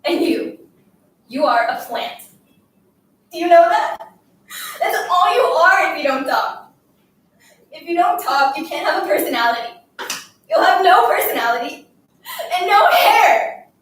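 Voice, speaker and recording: A woman plays a bullying schoolgirl in a dramatic story, scolding and demanding with desperate, scornful force. The voice is high. It sounds distant from the mic in a very echoey room. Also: lively intonation, measured pace, long pauses, fairly bright tone, slight background noise. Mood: angry, scornful. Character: bullying schoolgirl, taunting, desperate, commanding a silent classmate. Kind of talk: dramatic literary reading.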